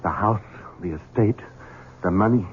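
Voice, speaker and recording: A man's voice: lowish voice, moderate pace, breathy edge. An actor playing a resentful, menacing man in his thirties ruled by his mother, in a theatrical, sardonic vintage radio mystery style. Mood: resentful, agitated. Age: 30s. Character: bitter, resentful grown son, 38, menacing and mother-dominated. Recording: dull lo-fi vintage radio, music behind.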